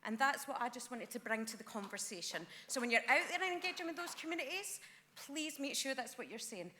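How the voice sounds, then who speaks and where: A woman's voice: sits high, expressive, a little fast, pushed and bright, slight background noise. A woman councillor giving an earnest conference floor speech at a lectern, with frequent ums. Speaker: councillor speaking at a party conference. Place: large conference hall. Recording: long hall reverb.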